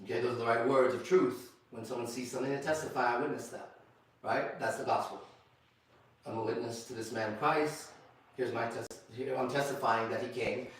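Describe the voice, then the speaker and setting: A man hosting a men's group discussion who preaches in a forceful, confrontational way and repeats himself. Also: medium pitch, fairly even intonation, fairly quick. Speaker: host and leader of a men's group. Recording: very echoey room, distant mic.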